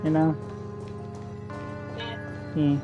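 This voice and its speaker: A woman's voice: deep voice, completely flat delivery, drawn-out words. A woman giving casual live reactions as she streams a video game. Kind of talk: casual live reaction commentary while playing. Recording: dull lo-fi mic, game music behind.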